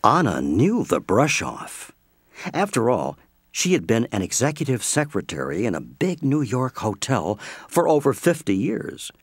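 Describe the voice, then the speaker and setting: A man narrating an audio play from a script as an expressive storyteller. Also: mid-range voice, unhurried, breathy edge, no room sound, clean studio sound, exaggerated voice.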